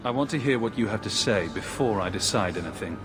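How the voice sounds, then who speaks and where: An actor playing a measured, direct young refugee mage, the hero of a fantasy video game. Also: male voice, fairly low pitch, lively intonation, lightly acted. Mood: firm, calm. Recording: loud music behind. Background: game music.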